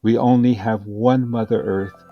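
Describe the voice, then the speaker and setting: A man reading an advocacy audiobook as scripted narration, with measured, deliberate and solemn phrasing. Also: fairly low pitch, steady tone, muffled recording, faint music behind. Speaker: audiobook reader.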